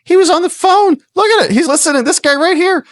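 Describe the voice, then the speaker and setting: A man's voice: very high voice, quick pace, fairly bright tone, no room sound, studio quality. A man hosting a livestream, giving informal, slangy news commentary and reading articles aloud.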